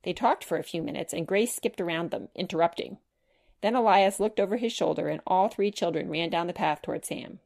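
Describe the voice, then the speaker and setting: Low-pitched woman narrating a children's storybook. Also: brisk, vocal fry, a little mellow. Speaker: author narrating own children's book.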